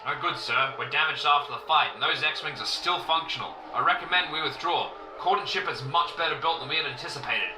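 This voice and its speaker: Imperial officer male actor: deferential, military, lighter pitch, roomy and distant sound. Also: fairly quick, few pauses, close to an everyday voice. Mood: sober, respectful. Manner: formal, military. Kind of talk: scripted audio drama acting. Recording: roomy, distant, noisy ship ambience.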